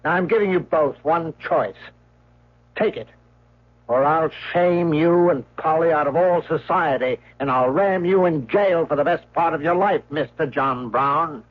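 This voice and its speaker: A man's voice: a little high, a little slow. An actor playing a scheming, domineering male guardian with a clipped, imperious manner, in 1970s radio drama style. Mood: cold, menacing. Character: scheming, domineering male guardian, coldly threatening, self-serving. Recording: muffled old radio broadcast.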